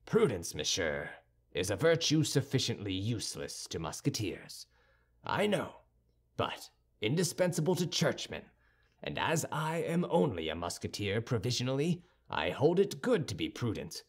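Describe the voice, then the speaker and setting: A man voicing a haughty, sardonic young musketeer in an audiobook, formal, measured and condescending. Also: mid-range voice, sing-song, breathy edge. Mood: sardonic, composed. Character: cool, haughty young musketeer with churchly airs, dryly sardonic. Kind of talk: audiobook character dialogue.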